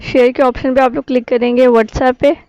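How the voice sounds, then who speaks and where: A woman's voice: high voice, little pitch movement, normal speed, warm tone, no room sound, highs cut off. A woman, an Urdu speaker, narrating a casual app tutorial as a YouTube host.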